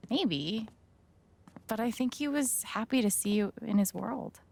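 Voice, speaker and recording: An actress playing a gentle, caring young woman in a video game, soft-spoken and sincere in a naturalistic way. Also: fairly high pitch, expressive, unhurried, creaky, exaggerated voice. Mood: warm, sincere.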